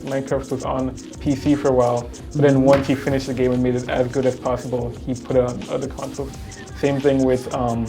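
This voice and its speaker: A man argues his side as a debate contestant in casual speech. His delivery stays fairly flat and middle-pitched, with music clearly heard behind him. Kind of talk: casual argumentative chat.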